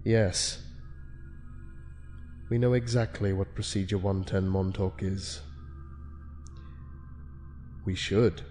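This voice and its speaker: A man plays a senior official of a shadowy ethics committee in an audio drama. The voice is fairly low and very bright, calm but menacing, with deliberate, condescending authority. Music plays clearly behind it. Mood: grave, intense. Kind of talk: dramatic scripted monologue for an audio log.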